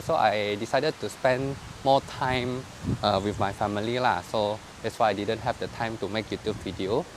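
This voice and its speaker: A man's voice: medium pitch, slight background noise, rough recording. A young man, chatting to the camera as a vlogger while walking in a park, dropping lah and ah into loose, chatty sentences. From Indonesia. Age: 20s. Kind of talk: casual chat to camera. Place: outdoor park path at night.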